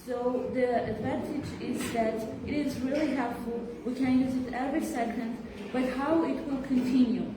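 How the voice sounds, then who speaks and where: A teenage girl student giving her view in a classroom discussion, speaking haltingly with many fillers. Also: high-pitched, fairly even intonation. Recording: echoey room from far off, clear background noise.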